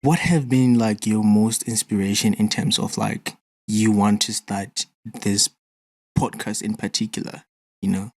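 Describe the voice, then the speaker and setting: A man's voice: on the low side, steady tone, unhurried, a little mellow, dry recording. A man interviewing a podcast host in a casual, easygoing way, with many "you know"s and "like"s.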